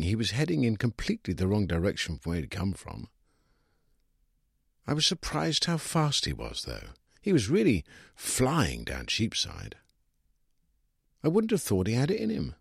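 A British man reading a literary short story in an expressive, wry first-person storytelling manner, with a husky voice. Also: fairly low pitch, normal speed, long pauses, no echo, clean studio sound. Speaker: story reader.

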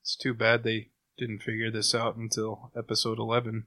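Bright, forceful man in casual chat. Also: lowish voice, completely flat delivery, normal speed, no room sound. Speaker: podcast co-host.

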